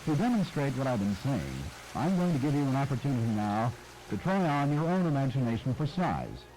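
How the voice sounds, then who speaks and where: Animated male narrator, dramatic phrasing. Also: mid-range voice, a little fast. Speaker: host of radio nostalgia documentary. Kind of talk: scripted narration addressing the listener. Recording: noisy archive audio under rain.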